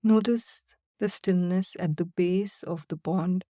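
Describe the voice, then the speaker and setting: A woman guiding a body-scan and breathing meditation in slow, calm, soothing instructions with long pauses. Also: on the low side, dry recording, studio quality. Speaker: meditation guide. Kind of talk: guided meditation.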